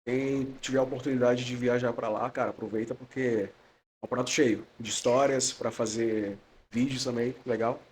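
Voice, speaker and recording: A young man with a Maranhão accent tinged with São Paulo speech, a guest on a podcast telling his story in a friendly, relaxed way and laughing often. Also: medium pitch, moderate pace, slight background noise. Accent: Maranhão Brazilian Portuguese, mixed with São Paulo. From São Luís, Maranhão, Brazil. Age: young adult. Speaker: guest giving testimony.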